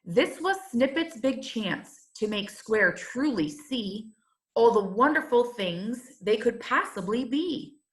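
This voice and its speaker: A woman reading a rhyming children's storybook aloud, in a measured and expressive teacher's manner. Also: a little high, low-quality recording.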